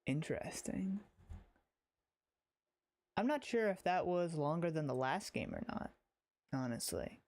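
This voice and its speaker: A man giving casual livestream game commentary, halting and rambling as he repeats his phrases. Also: a little high, very animated, darkish tone. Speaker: game streamer.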